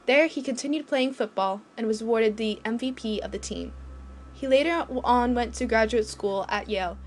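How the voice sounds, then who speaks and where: A woman's voice: on the high side, normal speed, a little hiss or hum. A woman reading a scripted storybook-style historical narration.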